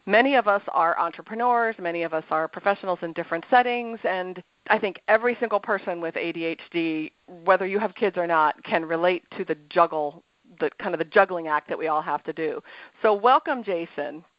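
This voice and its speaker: A woman's voice: lowish voice, brisk, no room sound, muffled recording. Upbeat woman podcast host, dry sound. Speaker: podcast co-host and ADHD coach. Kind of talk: scripted show intro then interview.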